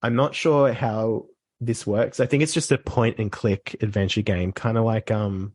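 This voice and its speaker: Male podcast guest, easygoing talker, lowish voice, dry close mic. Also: moderate pace, dry recording. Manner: relaxed, chatty, laughs easily. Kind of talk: casual chat about books.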